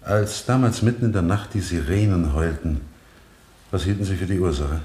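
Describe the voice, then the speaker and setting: An actor as an eloquent defense lawyer, echoing courtroom. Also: male voice, low-pitched, normal speed, dark tone, close to an everyday voice. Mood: gentle questioning. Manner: rhetorical, solemn, emphatic, clearly articulated. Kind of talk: 1970 German radio play acting, courtroom oratory. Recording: roomy studio courtroom echo of 1970 radio.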